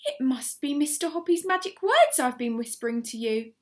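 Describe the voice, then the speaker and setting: Woman putting on a high, gushing, doting pet lady. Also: big pitch swings, normal speed. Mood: fond. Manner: cooing, gushing baby talk to a pet. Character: doting, excitable lady tortoise owner, gushing sweetly.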